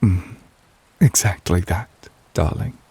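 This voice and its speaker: A man playing a devoted, tender but possessive lover in a romantic ASMR roleplay, speaking softly and intimately in a measured, wistful way, close to the microphone. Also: low-pitched, no room sound, heavily acted. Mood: tender, reflective. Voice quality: soft, breathy, husky. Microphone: close, dry microphone.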